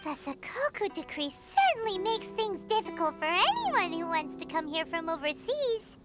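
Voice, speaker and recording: A woman voicing a tiny childlike companion creature in a video game, cute, curious and quick to get indignant. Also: extremely high pitch, big pitch swings, over loud music. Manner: cute, exclamatory, inquisitive. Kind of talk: video game cutscene acting.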